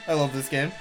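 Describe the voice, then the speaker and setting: A man's voice: fairly high pitch, rough recording. A man giving casual, rambling, self-mocking commentary while he plays through a speedrun live.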